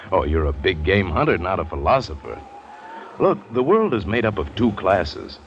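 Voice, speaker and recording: A man's voice: low-pitched, sing-song, normal speed, a little hiss or hum. An actor playing a confident big game hunter who recounts his adventures, in the style of 1940s radio drama. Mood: dismissive. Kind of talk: 1940s radio drama acting, first-person narration. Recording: dull old radio recording with loud music.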